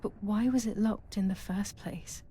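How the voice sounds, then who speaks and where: A woman playing a troubled, brooding young woman in a wartime psychological game, narrating in a measured, dramatic, introspective way. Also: mid-range voice, mellow, a little hiss or hum, close to an everyday voice. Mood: wistful, reflective. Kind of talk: scripted first-person game narration.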